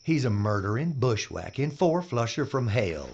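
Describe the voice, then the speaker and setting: A man's voice: medium pitch, sing-song, moderate pace, creaky, lo-fi sound, noisy background. A man playing Lamort, a sneering bounty hunter. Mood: scornful. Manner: mocking, drawling, menacing. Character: swaggering gruff male bounty hunter Lamort. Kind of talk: audio drama acting.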